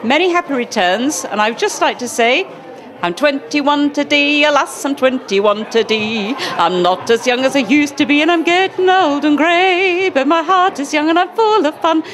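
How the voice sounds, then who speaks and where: A woman's voice: sits high, lively intonation, moderate pace, fairly bright tone. A woman guest giving a playful birthday message and reciting a sing-song verse. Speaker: party guest.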